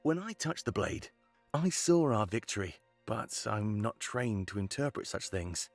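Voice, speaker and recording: An actor voicing a brooding, determined rebel leader in a fantasy visual novel, musing solemnly. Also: male voice, fairly low pitch, big pitch swings, unhurried, faint music behind. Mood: brooding, hopeful.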